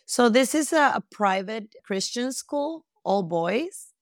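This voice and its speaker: A woman's voice: on the high side, expressive, takes it slowly, no room sound, studio quality. A middle-aged woman from Costa Rica answering as a guest in a conversational interview.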